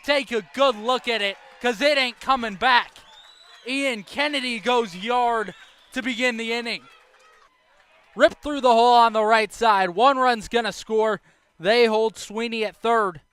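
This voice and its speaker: A young American man with a high, bright voice calls baseball play-by-play. He takes his time between phrases, describing the game as a broadcast announcer. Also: measured pace, smooth. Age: young adult. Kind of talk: live baseball radio and TV play-by-play commentary.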